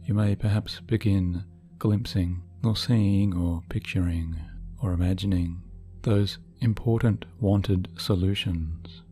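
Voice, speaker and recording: Low, mellow-voiced man guiding meditation. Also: fairly even intonation. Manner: soothing, measured, deliberate. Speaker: guided meditation narrator. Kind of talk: hypnotic guided meditation, scripted narration. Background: soft background music.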